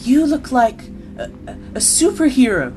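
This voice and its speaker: A wide-eyed, startled young boy, acted by a woman, high in pitch and very lively in intonation. It is a radio play performance with music clearly behind. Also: normal speed. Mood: awestruck, wary.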